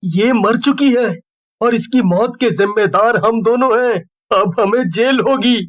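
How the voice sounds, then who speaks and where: A woman voicing a deceitful young husband in a cartoon story, with an earnest, deliberate manner. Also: fairly high pitch, lightly acted. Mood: serious.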